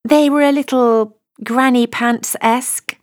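A woman's voice: high-pitched, steady tone, unhurried, dry recording, clean studio sound, pitched above the performer's own voice. A woman voicing a snobbish, gushing young bride, a little spoilt and breathless. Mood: chatty.

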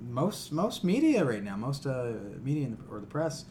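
A male podcast host talks loosely and colorfully, swearing freely. His pitch moves around a lot, giving the chat an animated feel, and there is light noise in the recording. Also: normal speed. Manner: profane, animated, conversational. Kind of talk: casual podcast chat about gaming.

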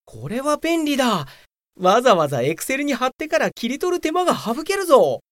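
A woman's voice: sits high, sing-song, normal speed, no room sound, clean studio sound. A woman playing a whiny, self-important young male office worker in a comedic radio drama, full of grumbles and excuses. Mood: cheeky, impressed.

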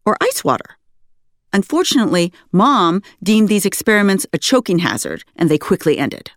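An American woman, an author reading her own memoir aloud in an articulate, expressive storytelling manner. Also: fairly low pitch, no echo, clean studio sound. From United States, West Coast. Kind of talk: scripted memoir narration.

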